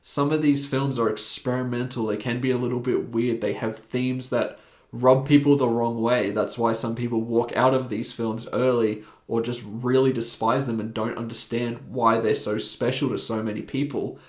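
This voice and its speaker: Young Australian man, mid-pitched, eager film-review monologue, echoey room. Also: normal speed. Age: 20s. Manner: enthusiastic, animated talker.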